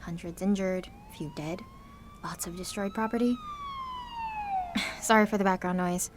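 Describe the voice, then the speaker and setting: A woman's voice: mid-range voice, measured pace, background noise, natural voice. A woman playing an anxious, guilt-ridden seventeen-year-old girl with superpowers in an audio drama, speaking in a confessional, sardonic, conversational way. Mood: somber, guilty.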